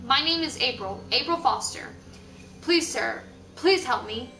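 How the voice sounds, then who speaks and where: A woman's voice: high-pitched, lively intonation, a little slow, bright, forceful tone, heavily acted. A woman voicing a frightened mother who pleads with the police over the phone, her speech panicked and pleading. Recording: echoey room, distant mic, music behind.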